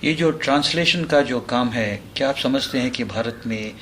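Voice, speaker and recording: A man's voice: mid-range voice, normal speed. A man conducting a radio interview, asking polite, conversational questions with formal address. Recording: noticeable steady background noise.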